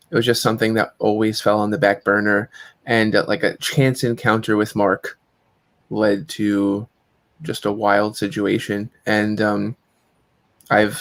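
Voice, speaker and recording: A man's voice: lowish voice, dry recording. A man in his forties, a comic writer, talking in a rambling, enthusiastic way as a guest on a panel. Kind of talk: casual panel chat.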